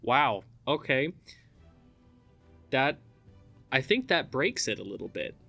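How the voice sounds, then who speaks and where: A man's voice: mid-range voice, big pitch swings, takes it slowly, gravelly, sharp tone, soft background music. A man in casual chat, reacting dryly with mock disbelief as a co-host. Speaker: co-host reacting and asking questions.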